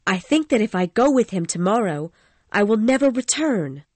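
Storybook figure: a scared young woman singer, anxious and pleading, put on by a male narrator. High voice, dry recording. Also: sing-song, moderate pace, dry recording. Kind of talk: storybook reading.

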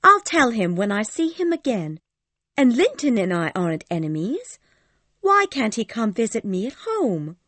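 Guy doing a high girly teen voice, eager n headstrong, super lively, storybook character. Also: moderate pace, no room sound, full character voice. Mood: puzzled, insistent. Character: eager, innocent, headstrong teenage girl.

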